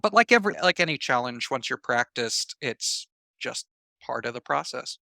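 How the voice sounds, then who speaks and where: A man's voice: mid-range voice, animated, normal speed, vocal fry, sharp tone, no echo, clean studio sound. A man answering interview questions in a conversational, reflective way, explaining his points through examples and comparisons. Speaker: interview guest, writer.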